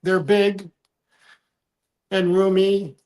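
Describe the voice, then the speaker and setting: A man's voice: sits high, level tone, drawn-out words, a little mellow. A man from the United States co-hosting a casual livestream, rambling, trailing off and restarting his sentences. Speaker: livestream co-host.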